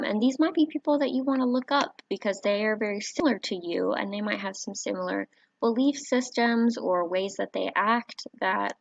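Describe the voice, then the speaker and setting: A soft-spoken woman, a teacher, gently walking viewers through a website on screen, with a warm voice and frequent fillers. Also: medium pitch, vocal fry, no echo. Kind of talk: screen-recorded tutorial walkthrough. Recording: dry, slightly dull home recording.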